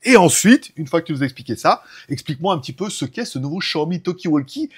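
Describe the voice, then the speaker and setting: A man with a husky voice, chatting informally and ramblingly as host of a tech show. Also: on the high side, sing-song, quick pace. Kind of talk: casual tech chat.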